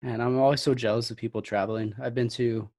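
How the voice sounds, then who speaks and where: A man from Canada with a medium-pitched voice hosts a relaxed podcast conversation. He speaks informally and with some slang, asking and commenting as one would among friends. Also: fast talker. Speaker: podcast host. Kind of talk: casual chat.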